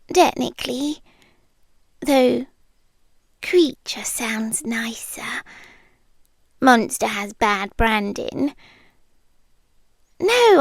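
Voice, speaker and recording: A British woman voicing a shy, smitten monster under the bed in soft, breathy, intimate ASMR roleplay, hesitant and dryly awkward, often dropping into whispers. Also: high-pitched, dry recording, heavily acted. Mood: dry, matter-of-fact. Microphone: close microphone, dry.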